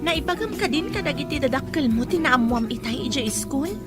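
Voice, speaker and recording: A woman's voice: high-pitched, lively intonation, fairly quick. A woman playing a cautious, scolding young friend in a drama, with a Filipino accent. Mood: worried scolding. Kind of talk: Ilocano radio drama acting. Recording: very loud music under the dialogue.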